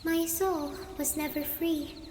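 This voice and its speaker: A woman's voice: high voice, steady tone, darkish tone, roomy sound, in a large hall, a little hiss or hum, voice pushed up. A woman voicing the sad, pleading ghost of a lost little girl in an ASMR horror story. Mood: mournful pleading. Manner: plaintive, pleading, slow.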